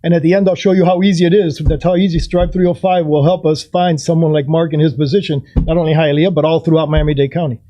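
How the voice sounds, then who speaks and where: A man's voice: fairly high pitch, steady tone, fast, hardly any pauses, warm tone, muffled recording. A man co-hosting a podcast, talking casually and eagerly, jumping in to add points. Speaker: podcast co-host. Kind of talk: casual chat.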